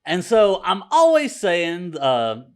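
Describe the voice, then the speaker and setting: A man's voice: sits high, wildly swooping pitch, slow, clear, resonant tone. Easygoing Southern American man. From Mississippi, USA. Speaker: podcast co-host. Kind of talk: casual chat.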